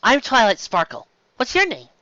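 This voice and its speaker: A woman voicing an eager, bossy young female pony, brisk and impatient with a falsely cheery edge. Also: a little high, very animated, pushed and bright, no room sound, highs cut off, pitched above the performer's own voice.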